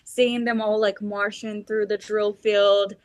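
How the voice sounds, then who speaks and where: A woman's voice: mid-range voice, little pitch movement, takes it slowly, dry recording. Eager Belarusian woman, dry close mic. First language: Russian. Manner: enthusiastic, frequent ums and uhs. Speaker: festival organizer guest. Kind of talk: casual interview chat.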